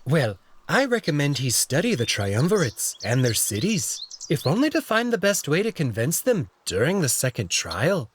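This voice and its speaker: A man voicing a dainty, refined young male alien courtier in a visual novel, polite, measured and coy. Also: fairly high pitch, huge pitch swings, slight background noise. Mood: polite, informative. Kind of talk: visual novel character acting.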